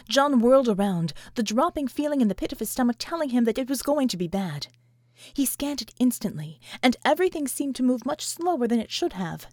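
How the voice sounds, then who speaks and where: A woman's voice: a little high, darkish tone, dry recording, clean studio sound. A woman reading scripted fiction as an audiobook narrator.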